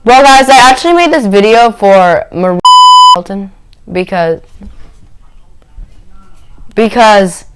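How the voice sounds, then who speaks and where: A woman's voice: mid-range voice, slow, pauses often, rough recording. A woman hosting a reaction video, commenting casually in a playful, blunt way.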